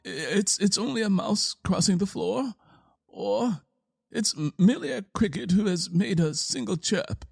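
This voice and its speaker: A frightened old man from a gothic tale, acted out by a male narrator. The voice jumps high and thin, quavering and stammering with fear. Music plays underneath. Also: expressive, a little slow, gravelly, slightly rough, no echo, studio quality, heavily acted. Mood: anxious self-soothing.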